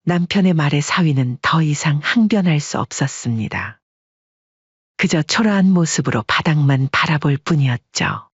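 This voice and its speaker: A woman narrating a story in an even, measured way, her voice slightly husky. The recording is dry and close, with a somewhat muffled tone. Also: sits low, no room sound.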